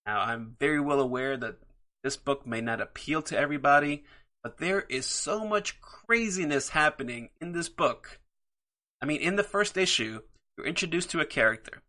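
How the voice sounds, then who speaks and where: A man's voice: fairly high pitch, expressive, moderate pace, sharp tone. A man hosting his own podcast, talking enthusiastically and a bit rambly about his picks, with many fillers. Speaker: podcast host. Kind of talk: solo podcast comic book picks.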